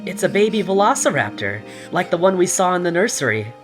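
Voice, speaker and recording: A woman's voice: on the low side, very animated, fairly quick, faint music behind. A woman playing a curious, observant young boy in a fan audio drama.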